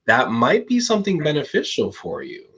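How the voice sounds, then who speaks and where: A man interviewing a pageant contestant, enthusiastic and quick to praise, with frequent ums. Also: medium pitch, wildly swooping pitch, a bit bright, slightly rough, highs cut off. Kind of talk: live interview.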